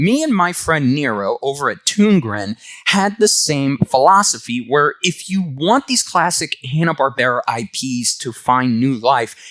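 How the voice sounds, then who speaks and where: Lively male comic reviewer, dry close sound. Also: fairly high pitch, no room sound. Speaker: comic book reviewer and host. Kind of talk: semi-scripted video review commentary.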